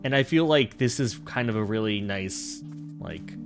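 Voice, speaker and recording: A man casually commenting on a game he is streaming, laughing often and poking fun at himself. His pitch swings widely as he talks, with a faint bed of music behind him. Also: normal speed. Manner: animated, laughing and self-deprecating.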